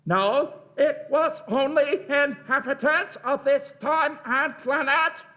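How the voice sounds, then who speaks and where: A cold Dalek war machine in a radio play. It is piercingly high, very slow and forceful, with stilted mechanical phrasing. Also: male voice, full character voice.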